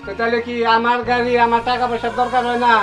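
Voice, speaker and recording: A stern creditor, played by a man, laying down demands in blunt, threatening words. His voice sits very high. Light music plays underneath. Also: completely flat delivery, fast talker, fairly bright tone. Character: stern village moneylender owed for an auto. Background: background music.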